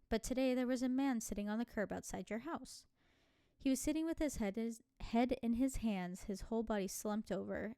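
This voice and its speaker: A woman's voice: medium pitch, warm tone, dry recording, studio quality. A woman playing a story game, reading the narration aloud and adding casual, giggly asides. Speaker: video game streamer.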